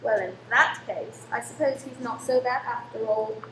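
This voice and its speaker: A woman acts a fun-loving, outspoken young daughter in a radio play. Her voice is high, her manner spirited and slightly defiant. The room rings with echo, over faint noise. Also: normal speed, fairly bright tone, lightly acted. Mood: polite.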